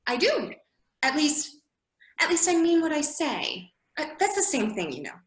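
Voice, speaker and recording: A woman's voice: high voice, sing-song, measured pace. A woman acting a prim, indignant, curious young girl in a readers theater sketch. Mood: eager. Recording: roomy echo.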